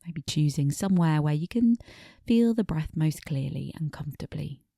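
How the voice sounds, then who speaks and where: A woman meditation teacher guiding a body scan in a gentle, unhurried manner, pausing between short phrases, with soft phrase endings that fade toward breathiness. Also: low-pitched, lively intonation, creaky, dry recording, clean studio sound.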